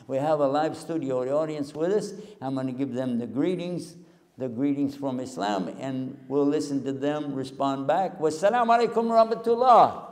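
A man's voice: mid-range voice, moderate pace, darkish tone. An older man from Texas, preaching a humorous lecture to a live audience on a handheld mic in a large hall, folksy and joking. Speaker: Islamic preacher and TV host. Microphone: handheld mic, far camera. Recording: long hall reverb.

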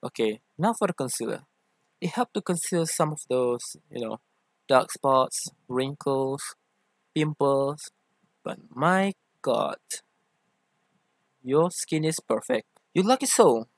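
A man's voice: medium pitch, lively intonation, takes it slowly, no room sound. A man playing an affectionate, theatrical young boyfriend who teases and coaxes in an ASMR roleplay. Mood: tender, teasing. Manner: theatrical, teasing, coaxing. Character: playful, affectionate, teasing young femboy boyfriend.

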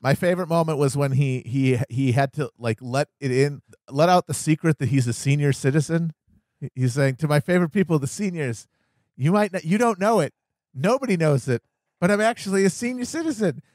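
A man's voice: on the high side, brisk, a little mellow, dry recording, studio quality. An American man hosting a podcast, talking casually about politics with a satirical bent. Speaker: podcast host.

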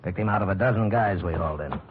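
A blunt cop from an old radio crime drama, played by a man. He talks fast in clipped slang, on a muffled recording with clear background noise. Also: low-pitched, close to an everyday voice. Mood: matter-of-fact. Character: blunt, no-nonsense male police sergeant.

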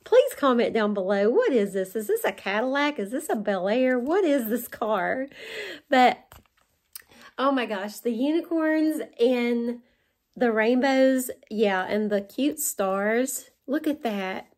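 Woman, 40s, Southern accent, haul vlogger; slightly high voice, unhurried, chatty and delighted, close camera in a living room. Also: expressive, a little mellow. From West Virginia. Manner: gushing, chatty, laughs often. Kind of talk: casual show-and-tell haul. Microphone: camera in front at close range.

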